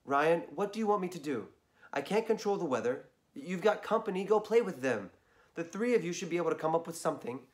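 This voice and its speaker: A man voicing a patient, tired mother who speaks gently but firmly, a little hoarse. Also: a little high, fairly quick, pitched above the performer's own voice, close to an everyday voice. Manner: calm, gently firm.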